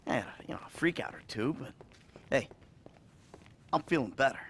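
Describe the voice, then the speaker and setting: Wry, troubled American criminal, animated pitch. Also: male voice, a little hiss or hum. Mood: evasive, wry. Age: middle-aged. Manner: halting, wry, profane. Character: troubled middle-aged male criminal, evasive, foul-mouthed, self-loathing. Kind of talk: video game cutscene acting.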